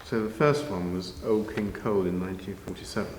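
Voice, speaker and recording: Deep-voiced older man reminiscing in echoey hall. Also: steady tone, a little fast, darkish tone. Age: 50s or older. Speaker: interviewee, long-time pantomime band member. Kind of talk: unscripted reminiscing. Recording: echoey hall, long reverb, faint noise.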